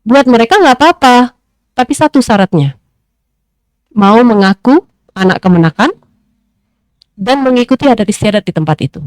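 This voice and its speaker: A woman's voice: a little high, dry recording, poor audio. Woman panelist, thoughtful and dry. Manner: thoughtful, pauses often with fillers. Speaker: discussion panelist. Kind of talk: panel discussion, reflective speaking.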